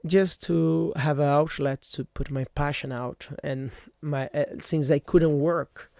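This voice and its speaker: A man's voice: fairly high pitch, fairly even intonation, slow pace, vocal fry, a little mellow, no room sound, studio quality. A man whose first language is Portuguese hosts a solo podcast in a rambling, casual way, full of fillers and self-corrections. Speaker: podcast host. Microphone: computer microphone.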